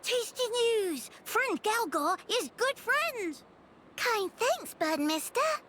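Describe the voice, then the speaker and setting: A teeny squeaky game critter, very high, childlike broken grammar, drawn out n cheery. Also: female voice, very animated, takes it slowly, fairly bright tone, slight background noise, exaggerated voice. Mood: perky. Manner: cute broken grammar, third-person phrasing. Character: small, cheerful, childlike fantasy creature. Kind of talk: video game voice acting.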